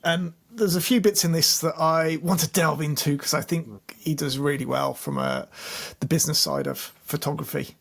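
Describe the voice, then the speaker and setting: A man co-hosting a podcast with a hoarse voice from a cold, teasing and joking in casual banter. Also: a little high, lively intonation, normal speed, few pauses. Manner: teasing, jokey. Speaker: podcast co-host.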